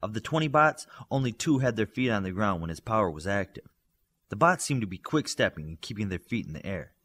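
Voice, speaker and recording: A man's voice: on the low side, big pitch swings, brisk, a bit bright. A man reading a scripted fiction story aloud as an audiobook narrator, acting out the dialogue as he goes.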